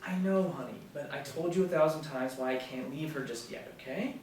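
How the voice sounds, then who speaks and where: A man's voice: on the high side, fairly quick, darkish tone, close to an everyday voice. A man in his thirties reading the part of a self-confident young businessman boyfriend from a script. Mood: hushed, placating. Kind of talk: script read aloud at a table reading. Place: small indoor room, seated group. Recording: very echoey room, distant mic, faint noise.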